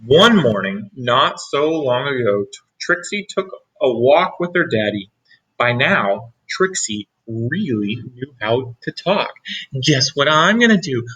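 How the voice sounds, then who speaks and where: Slow, bright-voiced man reading aloud. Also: mid-range voice, big pitch swings, slightly rough, rough recording. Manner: reads slowly with asides to young listeners. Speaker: teacher reading a picture book aloud. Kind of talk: read-aloud storytelling.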